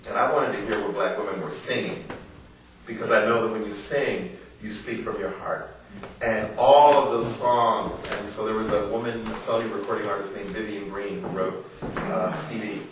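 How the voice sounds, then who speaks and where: Echoey, low-voiced American man reading publicly. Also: normal speed. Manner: earnest, emphatic, rhetorical questions. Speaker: author giving a book reading. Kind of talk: public reading mixed with informal talk to an audience. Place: indoor literary salon before an audience. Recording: roomy echoing live venue, distant mic, faint noise.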